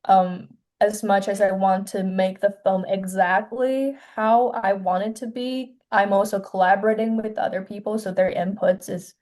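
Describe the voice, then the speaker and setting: Soft-spoken young woman from China. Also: medium pitch, fairly even intonation. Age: 20s. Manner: soft-spoken, hesitant, many pauses and restarts. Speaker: filmmaker guest. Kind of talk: casual interview.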